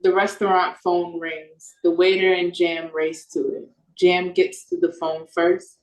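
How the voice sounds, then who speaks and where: A woman's voice: fairly low pitch, flat delivery, a little slow. A woman reading stage directions as scripted narration for an audio play. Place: echoey room, over a video call. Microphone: video call. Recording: roomy, echoing video-call audio.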